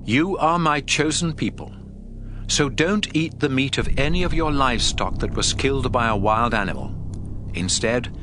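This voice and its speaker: A man playing a stern, authoritative God in a dramatic scripture reading, speaking in solemn, deliberate declarations. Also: mid-range voice, background noise, natural voice. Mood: solemn command. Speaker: audio Bible narrator. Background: background music.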